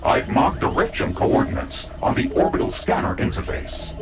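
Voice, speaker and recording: A man playing a formal, dutiful mech-suit AI in a video game, with a measured, synthetic, robot-processed delivery. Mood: dutiful, informative. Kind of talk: scripted video game dialogue. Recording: music bed behind processed voice.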